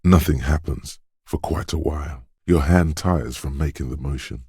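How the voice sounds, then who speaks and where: An extremely deep voice plays a detective's quick-witted instinct in a narrative video game. It is deliberate and watchful, addressing the listener with dramatic weight. The sound is very dry, with no room around it. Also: male voice, mellow, slightly rough, no echo, full character voice. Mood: measured narration. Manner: deliberate, alert, dramatic second-person narration. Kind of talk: scripted game narration, voice of an inner thought.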